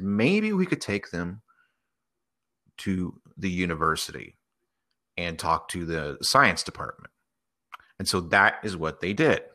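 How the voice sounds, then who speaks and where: Animated, bright-voiced man telling a story. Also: low voice. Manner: animated, acts out dialogue. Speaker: host. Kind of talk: improvised oral storytelling.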